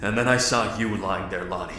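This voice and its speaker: An actor in an audio drama playing a grave, earnest man in a zombie apocalypse, measured, formal and guarded. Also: low voice, pushed and bright. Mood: anguished. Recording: very echoey room, faint music.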